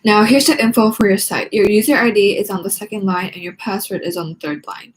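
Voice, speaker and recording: A woman voicing a moody, high-strung male teacher from a storybook, irritable and bossy. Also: fairly quick, a little hiss or hum, natural voice, rough recording. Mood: brisk.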